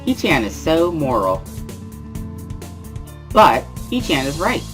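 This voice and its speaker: Man putting on a high, bubbly teenage schoolgirl. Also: huge pitch swings, slow, a bit bright, soft background music, heavily acted. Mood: playful scolding. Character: bubbly, pushy teenage schoolgirl, teasing and cheerful. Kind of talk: amateur dramatic reading of a visual novel.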